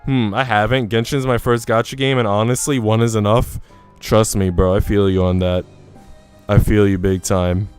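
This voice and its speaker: A man's voice: fairly low pitch, steady tone, normal speed, clear, resonant tone. A man streaming casually, chatting and reading in an informal, slangy way. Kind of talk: casual livestream chat and visual novel reading. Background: faint music.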